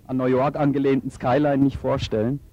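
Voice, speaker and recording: A man's voice: mid-range voice, little pitch movement, fairly quick, a little hiss or hum. A man asking questions as a street interviewer for radio. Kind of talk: vox pop interviewing. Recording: dull lo-fi field recording.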